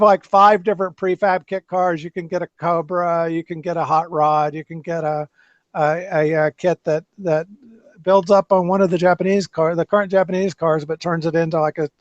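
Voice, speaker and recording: A man's voice: on the high side, flat delivery, normal speed, no echo. A man hosting a casual car chat, talking in loose, rambling sentences with many restarts. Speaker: podcast host. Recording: dull lo-fi dry sound.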